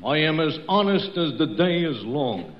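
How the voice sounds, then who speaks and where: A man's voice: on the high side, very animated, normal speed. A man playing a shifty, falsely friendly small-time hustler in a 1940s radio comedy. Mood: wheedling. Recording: muffled old radio broadcast, faint noise.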